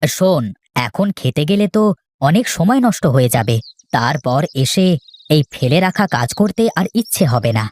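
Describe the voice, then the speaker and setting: A lowered, steady voice for a sensible big brother who farms, put on by a woman. He speaks firmly in a children's cartoon, with a scolding edge. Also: moderate pace, no echo. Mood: firm, weary. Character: sensible, firm eldest brother, a hardworking farmer.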